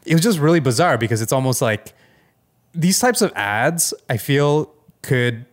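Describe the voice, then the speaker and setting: A man's voice: mid-range voice, lively intonation, moderate pace. A man co-hosting a podcast in a casual, rambling tech chat, informal and full of loose asides. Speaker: podcast co-host.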